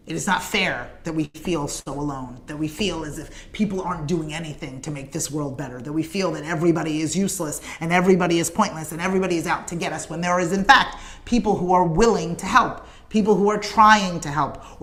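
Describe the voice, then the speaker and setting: A woman's voice: sits low, a bit bright. Earnest woman hosting a morning stream. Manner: earnest, emphatic, repetitive rallying cadence; addresses viewers in comments by name. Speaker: morning show host. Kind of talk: direct-to-camera livestream appeal.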